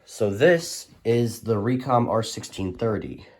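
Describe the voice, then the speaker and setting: A man with a fairly low voice walks through a collection in a casual showcase. He rambles informally and hesitates often as he presents. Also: lively intonation, measured pace. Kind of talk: casual product showcase narration.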